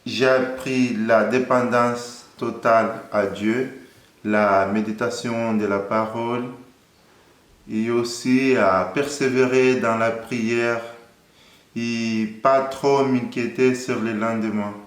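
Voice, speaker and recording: A man in his thirties from Angola, a Portuguese speaker, calmly and earnestly sharing his faith story in an interview. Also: mid-range voice, slow pace, roomy sound. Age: 30s. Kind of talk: personal faith testimony.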